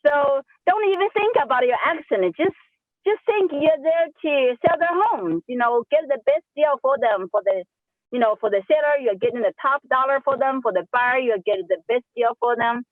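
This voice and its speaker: A woman's voice: high voice, expressive, moderate pace, no room sound. A woman with a Chinese accent, native speaker of Sichuan dialect, talking upbeat and enthusiastic as a real estate agent on a group coaching call. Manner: upbeat, enthusiastic, repeats herself. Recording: tinny phone line.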